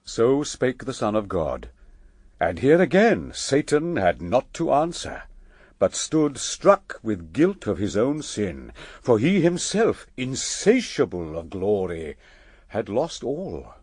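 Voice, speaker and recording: An actor playing the solemn narrator of a sacred epic, telling it in grave, emphatic verse for radio. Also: male voice, medium pitch, wildly swooping pitch, slow pace, a little mellow, husky, dry recording, clean studio sound, heavily acted. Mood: grave, dramatic.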